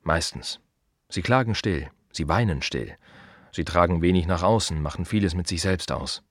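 Deep-voiced, wry working-class gravedigger. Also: male voice, moderate pace, no room sound, studio quality. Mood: calm, wry. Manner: short clipped phrases, repetitive, conversational, wry. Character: plain-spoken working-class male gravedigger, wry and reflective. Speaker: actor in a radio play monologue. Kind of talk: reflective radio play monologue, told to the listener.

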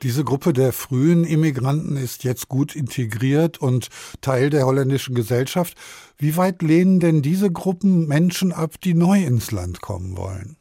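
A male radio host presenting and interviewing guests with crisp, scripted introductions. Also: mid-range voice, expressive, moderate pace, warm tone, no echo, clean studio sound.

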